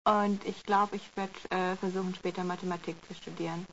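A woman's voice: fairly low pitch, level tone, brisk, a bit bright. A woman giving self-introductions for a language course, as a student and as a teacher, with light hesitation, on a lo-fi recording. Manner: plain, with hesitation fillers. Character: student, then a schoolteacher. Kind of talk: scripted language-course role-play. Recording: lo-fi tape with faint hiss.

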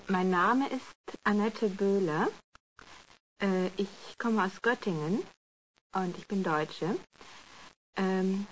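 A woman's voice: mid-range voice, lightly acted. A woman playing a plain self-introduction. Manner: plain, with hesitation fillers. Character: student, then a schoolteacher. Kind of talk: scripted language-course role-play. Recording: lo-fi tape with faint hiss.

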